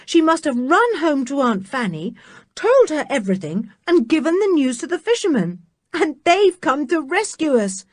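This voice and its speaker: A woman reading aloud, voicing an eager young boy in a storybook who exclaims with excitement. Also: fairly high pitch, measured pace, slightly rough, no room sound, clean studio sound, full character voice. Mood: excited.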